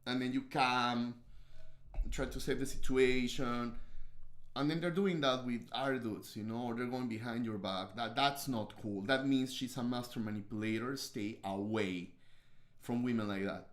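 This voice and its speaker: A man's voice: mid-range voice, moderate pace, echoey room. A man from Peru with a strong Peruvian Spanish accent, a guest chatting casually and joking boastfully on a livestream.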